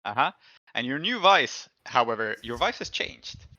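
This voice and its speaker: Bright-voiced man running a tabletop game. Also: mid-range voice, big pitch swings, moderate pace, no echo, dull audio. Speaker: game master. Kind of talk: improvised tabletop game narration.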